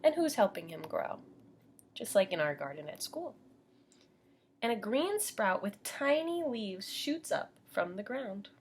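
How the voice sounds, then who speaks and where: A woman's voice: medium pitch, very animated, measured pace, slightly rough. An American woman teaching young children as she reads a picture book aloud, explaining simply and putting questions to her listeners.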